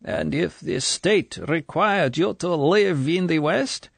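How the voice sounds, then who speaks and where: A man's voice: fairly high pitch, expressive, takes it slowly, no room sound, voice pushed up, full character voice. A man voicing a sugary, menacing middle-aged female Soviet colonel, in an audiobook. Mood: probing. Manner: falsely sweet, smooth, then cold and commanding.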